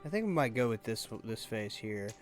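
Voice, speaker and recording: A man giving casual, halting gameplay commentary as a YouTuber, thinking aloud as he goes. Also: lowish voice, very animated, soft background music. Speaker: gaming YouTuber.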